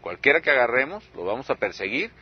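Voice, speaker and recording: An actor playing a stern, unyielding Mexican president, speaking firmly and resolutely as in an interview. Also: male voice, medium pitch, sing-song, fast, breathy edge. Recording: dull lo-fi broadcast-style sound.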